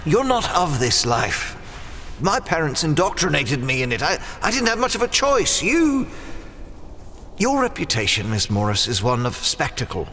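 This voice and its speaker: A man playing a wry, cocky wounded explorer in an adventure audio drama, with halting, theatrical, formally worded delivery. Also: on the high side, wildly swooping pitch, bright, forceful tone, slight background noise, heavily acted. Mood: dismissive, candid. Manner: halting, groaning, theatrical, formal turns of phrase.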